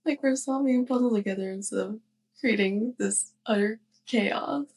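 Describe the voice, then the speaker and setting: A woman playing a young, clumsy, affectionate witch neighbor in a soft, hesitant ASMR roleplay monologue, speaking straight to the listener. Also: on the high side, breathy edge. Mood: fond, musing. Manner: hesitant, halting, giggly.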